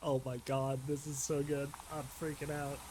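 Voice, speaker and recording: A man hosting a casual, excited reaction commentary, gushing in slang and repeating short phrases. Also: a little high, normal speed, noisy background. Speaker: reaction video host. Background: loud music.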